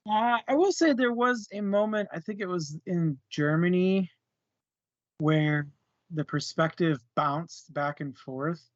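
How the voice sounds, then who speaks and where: Slow, slightly high-voiced man, dry dull sound. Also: no room sound. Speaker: book discussion panelist. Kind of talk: casual book discussion.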